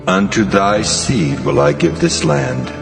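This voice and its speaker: In a long, cavernous reverb, a solemn, commanding God in a scripture drama. The voice is low and heavily gravelled, declaring each phrase with authority, over faint music. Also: male voice, big pitch swings, takes it slowly, heavily acted.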